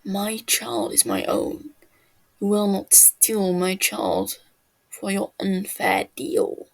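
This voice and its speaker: A woman's voice: medium pitch, little pitch movement, takes it slowly, gravelly, low-quality recording. A woman voicing a proud king who is firm and defiant as he protects his child in a fantasy story.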